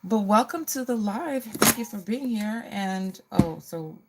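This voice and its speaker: A woman's voice: mid-range voice, expressive, a little slow, very noisy. A middle-aged woman hosting a casual get-ready livestream, chatting to viewers and often repeating her phrases.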